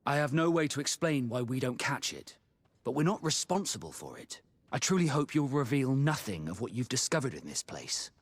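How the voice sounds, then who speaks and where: Video game sea captain, husky, mid-pitched, expressive, proud, romantic, measured, formal. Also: male voice. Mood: earnest, guarded.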